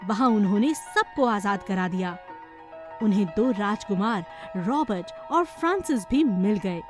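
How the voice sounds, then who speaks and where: A woman reading scripted narration for a children's animated story. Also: medium pitch, a little slow, music in the background.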